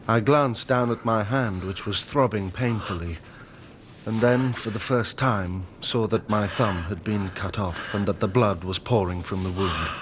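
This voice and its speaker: An actor playing a shaken young engineer in a radio drama, telling his story in formal, measured sentences. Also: lowish voice, fairly bright tone, slight background noise. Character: shaken, earnest young male engineer recounting his ordeal.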